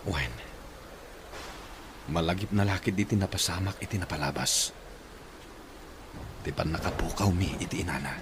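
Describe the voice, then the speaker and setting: Sombre employer and father, deep voice, subdued, grateful, radio drama, noisy background. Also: normal speed, pauses often. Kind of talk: Ilocano radio drama acting. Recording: clear background noise.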